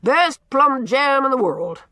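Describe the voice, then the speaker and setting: A woman voicing a blustering, gruff old man who booms out orders and repeats himself as he teases. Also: expressive, slow, bright, forceful tone, no room sound, clean studio sound, heavily acted. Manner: booming, repeats himself, teasing.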